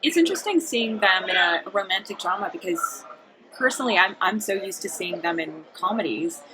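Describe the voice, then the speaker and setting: A woman's voice: mid-range voice, lively intonation, a little fast, poor audio. A woman interviewer asking polite, slightly hesitant questions with a few ums and uhs. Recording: loud crowd noise behind. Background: loud crowd.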